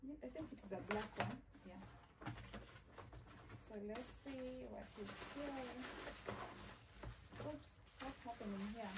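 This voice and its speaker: A woman's voice: a little high, slow, long pauses. A young woman, chatting casually through a haul unboxing in her living room and repeating herself as she shows things. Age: young adult. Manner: chatty, repeats "yeah" and "this is how it looks like". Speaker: lifestyle vlogger. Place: living room, seated on a sofa. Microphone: phone camera a few feet away. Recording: noisy phone recording.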